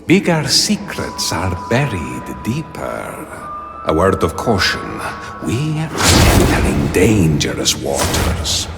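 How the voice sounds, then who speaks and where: A sly male trickster guide, lowish and gravelly, with wildly swooping intonation. He talks in a courteous, theatrical game style, teasing and mysterious. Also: wildly swooping pitch, slow pace, over loud music, heavily acted. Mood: cautioning. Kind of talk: video game dramatic acting.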